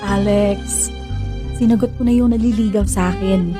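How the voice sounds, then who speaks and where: A woman's voice: medium pitch, steady tone, moderate pace, lightly acted. A woman playing a playful, coy young secret lover in a Filipino radio drama, with a teasing delivery. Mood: regretful, serious. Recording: music behind voice.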